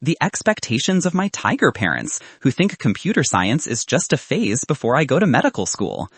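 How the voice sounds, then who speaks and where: A man voicing a confident, teasing young woman, a sharp student founder in an audiobook, brisk and bantering. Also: medium pitch, sing-song, slightly rough, no room sound, exaggerated voice. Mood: frank, probing.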